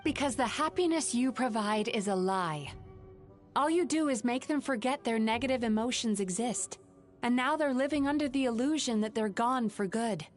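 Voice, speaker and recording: A woman voicing a game heroine, speaking in an earnest, calm and steady way. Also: on the high side, normal speed, faint music behind, natural voice. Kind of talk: game voice acting.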